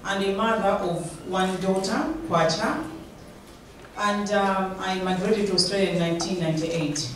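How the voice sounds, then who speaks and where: A woman's voice: medium pitch, measured pace. A woman from Malawi telling her own story at a community panel, speaking steadily into a handheld mic. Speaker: community organization founder on a panel. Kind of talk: personal story at a panel talk. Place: large conference room. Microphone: handheld mic, heard from far. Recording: echoey room, distant, some noise.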